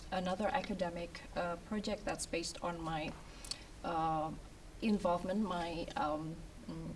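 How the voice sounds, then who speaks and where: A woman scholar speaks on a university panel in a measured, halting way, full of fillers. Her voice is on the low side. It reaches a distant mic with faint room noise. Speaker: academic and author. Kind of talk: panel talk. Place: event room.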